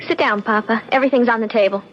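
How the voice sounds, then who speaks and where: A young woman's higher voice , performed by an actress, as a brisk, independent daughter in a radio play. She is defensive, on a muffled recording with faint noise. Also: natural voice. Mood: brisk, domestic. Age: young adult. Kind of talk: 1970s American radio drama acting. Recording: dull, noisy vintage radio recording.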